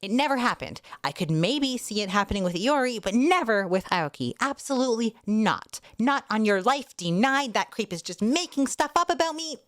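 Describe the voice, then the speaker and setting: An excitable teenage girl from a light novel, acted out by a woman reader. She is high-pitched, stubborn and emphatic, with a dramatic, agitated edge that tends toward wailing. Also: normal speed, hardly any pauses, no room sound, clean studio sound, lightly acted. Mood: wailing, disgusted.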